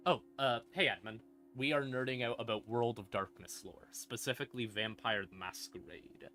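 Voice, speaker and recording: A man explaining game lore on a casual livestream, conversational and playful, with dramatic pauses and asides. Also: mid-range voice, sharp tone. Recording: ambient music behind the voice. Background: creepy ambient music.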